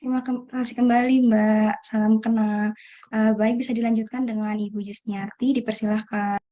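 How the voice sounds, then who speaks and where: A woman's voice: on the high side, level tone, normal speed, dark tone, dull audio. A young woman moderating a group discussion, courteously calling on each participant in turn. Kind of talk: polite formal moderating.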